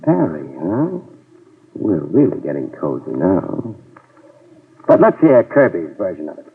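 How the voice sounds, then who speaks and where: An actor playing a tough, sardonic private eye in a 1950s radio drama, trading dry wisecracks. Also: male voice, deep voice, huge pitch swings, measured pace, gravelly, husky, exaggerated voice. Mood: wisecracking. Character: wisecracking hard-boiled male private eye. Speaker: private investigator and narrator. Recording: tinny old radio broadcast with music, mic at a distance.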